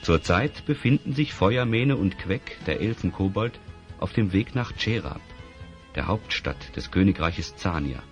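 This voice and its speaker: A man with a very deep voice narrates a fantasy tale solemnly and with wide, dramatic swings in pitch. The sound is slightly muffled, with music underneath. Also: lively intonation, a little slow. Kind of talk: scripted dramatic storytelling for a fantasy audio play. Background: background music.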